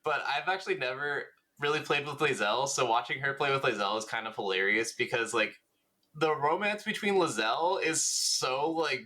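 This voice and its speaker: A man's voice: medium pitch, normal speed, bright, forceful tone. A man chatting informally as co-host of a gaming podcast, swearing freely. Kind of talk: casual gaming podcast chat.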